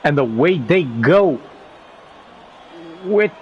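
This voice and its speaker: A man with a cold commentating a sim racing league broadcast, rising in pitch when the action heats up. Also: expressive, very slow, highs cut off, a little hiss or hum. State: has a cold. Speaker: race commentator.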